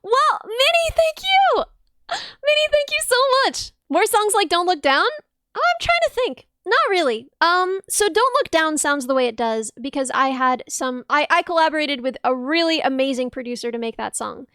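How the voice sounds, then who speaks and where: Young woman streamer: bright, high, slightly piercing voice, bubbly and gushing, dry close sound. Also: moderate pace, no room sound. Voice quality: high, dense, a little piercing. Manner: bubbly, gushes thanks with shrieks of excitement. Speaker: streamer and musician. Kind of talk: casual livestream chat with viewers.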